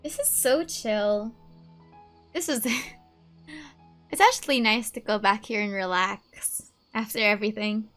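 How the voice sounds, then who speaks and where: Bright, giggly female game streamer. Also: medium pitch, slow. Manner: giggly, chatty, expressive. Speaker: gaming streamer. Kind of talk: casual reaction commentary over game. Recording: faint game music behind.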